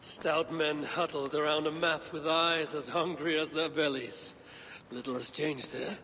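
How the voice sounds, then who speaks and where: Gravelly, resolute Viking warrior. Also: male voice, fairly high pitch, fairly even intonation, sharp tone, slight background noise. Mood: solemn, resolute. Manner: measured, formal, terse. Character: weary, resolute Viking warrior man, wry and solemn. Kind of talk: video game cutscene acting.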